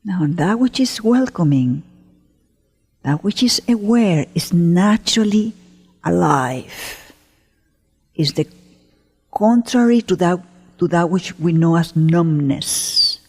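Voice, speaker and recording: Slow, breathy woman guiding meditation. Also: on the low side, lively intonation. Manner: gentle, unhurried, with long pauses between short phrases. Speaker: meditation teacher. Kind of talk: guided meditation, spoken slowly to listeners. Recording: long hall-like reverb.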